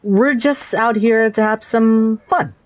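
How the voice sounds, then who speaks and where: A man's voice: high voice, slow pace. A man voicing an easygoing young male traveler hero in a video game, talking casually and a little hesitantly.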